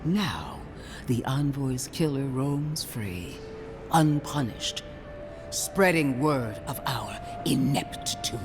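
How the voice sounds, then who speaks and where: An actor playing a severe, zealous imperial inquisitor in a fantasy game, with an imperious, cutting, theatrical delivery. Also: male voice, mid-range voice, expressive, slow pace, music in the background. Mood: contemptuous, accusing. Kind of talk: dramatic fantasy game dialogue.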